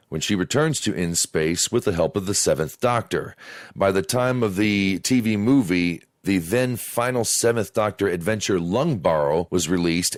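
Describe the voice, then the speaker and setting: A man reading a scripted review as a fan, in a measured way. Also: sits low, no echo, studio quality. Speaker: audio drama reviewer.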